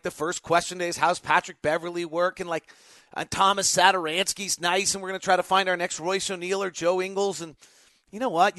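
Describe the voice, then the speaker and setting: High-pitched American male sports host, bright forceful tone, emphatic, conversational, live podcast commentary. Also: no room sound. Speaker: radio play-by-play announcer.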